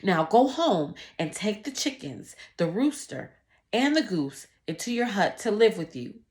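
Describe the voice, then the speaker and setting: A woman voicing a calm, thoughtful rabbi in a storybook, giving advice in a measured manner. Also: medium pitch. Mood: calm, advising. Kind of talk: storybook read-aloud.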